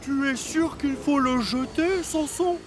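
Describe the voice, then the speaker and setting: A man's voice: extremely high pitch, slow pace, background noise. An actor playing a hesitant, doubtful but obliging male sidekick locomotive in a children's cartoon dub, with a questioning manner.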